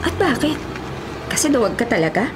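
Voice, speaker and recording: An actress playing a feisty, expressive young Filipino college woman, a mayor's bratty daughter, in a radio drama. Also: a little high, fairly quick, noisy background. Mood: scolding, exasperated. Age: young adult. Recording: loud music under the voice.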